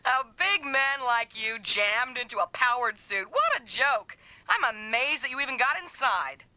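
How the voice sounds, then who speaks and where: An actress voicing a proud, sharp-tongued woman mech pilot in an anime-style video game, bossy, taunting and combative. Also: sits high, animated, measured pace, no echo, full character voice. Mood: teasing, scornful. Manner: brash, taunting, commanding.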